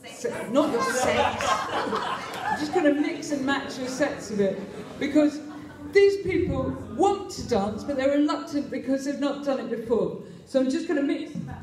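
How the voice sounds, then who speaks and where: A woman's voice: sits high, animated, measured pace, recorded from a distance. A woman with an English accent calling steps at a barn dance, in a chatty, sing-song way that keeps breaking into laughter. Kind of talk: calling dance moves over live music. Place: large community hall with dancers. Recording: echoey hall over loud band and crowd.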